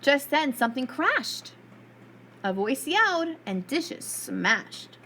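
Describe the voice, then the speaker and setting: A woman with a fairly high voice narrates a storybook for preschoolers. Her reading is expressive, like a storyteller's. There is clear noise behind her. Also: slow. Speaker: preschool read-aloud storyteller.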